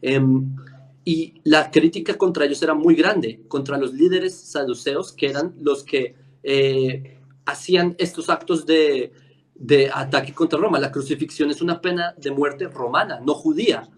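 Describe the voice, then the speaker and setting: A man's voice: fairly high pitch, measured pace. A man with a Colombian accent explaining history in an informal debate, emphatic and argumentative, often checking in with short tag questions.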